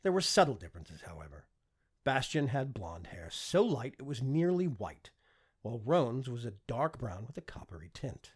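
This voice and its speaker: Man, audiobook narrator, animated intonation, mid-pitched, slightly raspy, scripted fiction. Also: normal speed.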